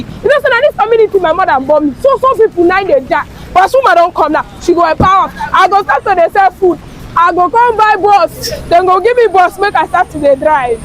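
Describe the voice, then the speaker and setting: An actress with a Nigerian Pidgin accent playing an overjoyed citizen who gushes with exclamations. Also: female voice, very high voice, a little hiss or hum, low-quality recording. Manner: exclaiming, gushing. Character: overjoyed market woman citizen.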